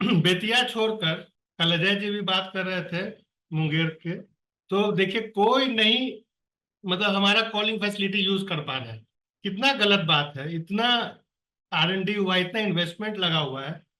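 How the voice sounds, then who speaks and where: A man's voice: high voice, sharp tone. A man leading an online staff meeting, urging and pleading with colleagues in an earnest, exasperated way, heard on a video call. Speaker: project coordinator on a staff call. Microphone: computer or phone on a video call. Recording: echoey room, distant from the mic.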